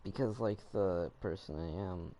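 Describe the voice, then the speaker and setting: A man's voice: low-pitched, normal speed, warm tone. A man giving casual gameplay commentary, rambling as he thinks aloud to himself. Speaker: video game streamer.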